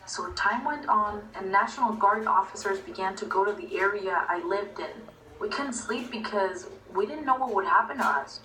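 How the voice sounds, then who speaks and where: A woman's voice: mid-range voice, moderate pace, bright, forceful tone. A woman in her twenties from Venezuela, narrating her own personal story. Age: 20s. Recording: echoey, distant playback with faint noise.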